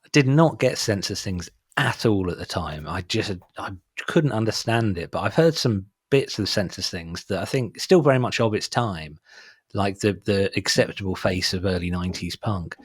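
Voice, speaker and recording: Middle-aged male podcast host, husky and fairly low, dry close sound, wry and offhand. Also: expressive, moderate pace, no echo. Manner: wry, dismissive asides on cover songs. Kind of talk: casual chat.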